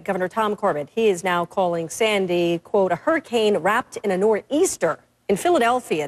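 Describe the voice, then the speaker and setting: A woman, a news anchor reporting and interviewing live. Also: mid-range voice.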